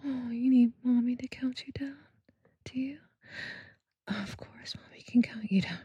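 A woman speaking in an intimate, teasing and commanding erotic roleplay style, in a breathy whisper close to the microphone. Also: fairly high pitch, unhurried, dark tone, no echo, lo-fi sound. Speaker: erotic audio performer.

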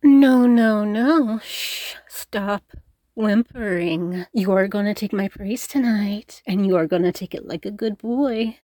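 A woman playing a doting, teasing, dominant girlfriend in an intimate roleplay audio, cooing and coaxing, sometimes slipping into soft whispers. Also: medium pitch, slow, dry recording. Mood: gushing praise. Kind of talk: intimate scripted roleplay audio addressed to the listener.

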